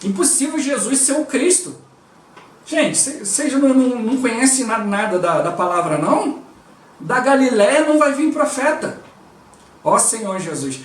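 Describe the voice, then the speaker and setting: A man's voice: very high voice, lively intonation, normal speed. Man, Brazilian Portuguese accent, devotional preacher, chatty with frequent invocations, far from the mic, echoey room. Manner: conversational, constantly interjects "O Lord Jesus" and "né". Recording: roomy and distant from the mic.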